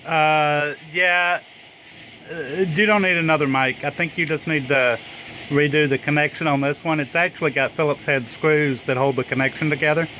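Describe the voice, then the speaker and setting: Man, fairly high pitch, hesitant casual radio hobbyist, light background noise of bugs and air conditioning. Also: fairly even intonation, normal speed, pushed and bright. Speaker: amateur radio operator testing a radio. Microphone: handheld phone camera. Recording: noisy phone recording, insects, air conditioner. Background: insects chirping, air conditioner hum.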